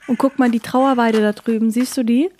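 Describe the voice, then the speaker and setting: A woman playing a chatty, excitable traveller in an audio drama, speaking colloquially with exclamations, over clear noise. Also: a little high, brisk, lightly acted.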